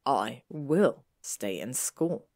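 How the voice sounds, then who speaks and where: A woman's voice: deep voice, lively intonation, moderate pace. A woman playing an aloof, proud teenage boy wizard in a story, speaking in a curt, clipped way. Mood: strained, resigned.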